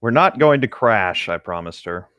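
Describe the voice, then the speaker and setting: A man's voice: lowish voice, lively intonation, normal speed, a bit bright, clean tone, no room sound, lo-fi sound. A man co-hosting a casual comedic read-aloud, riffing in a joking, nerdy way as he goes. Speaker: co-host reading a book aloud and riffing.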